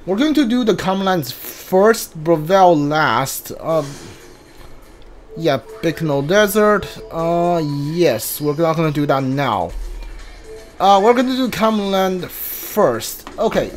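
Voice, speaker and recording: A man casually commentating on his video game playthrough, haltingly thinking aloud and mumbling as he reads. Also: on the high side, expressive, faint music behind.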